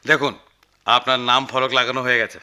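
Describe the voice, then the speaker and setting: An actor playing a fussy, effusive newspaper office manager in a radio drama, with repetitive, hesitant speech. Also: male voice, mid-range voice, level tone, brisk, pushed and bright. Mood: brisk, officious. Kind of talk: Bengali radio drama acting.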